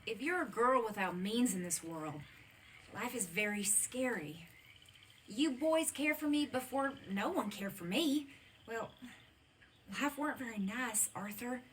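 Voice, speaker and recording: An actress playing a gentle, earnest young American woman living among outlaws in a western game, speaking hesitantly and wistfully. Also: on the high side, animated, a little slow, slight background noise. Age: young adult. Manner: hesitant, earnest, sweet-natured. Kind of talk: naturalistic western game acting.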